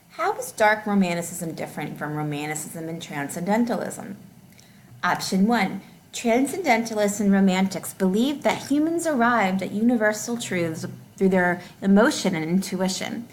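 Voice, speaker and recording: A woman reading test questions and answer options aloud as a teacher, deliberately and with each option set clearly apart. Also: medium pitch, slight background noise, poor audio.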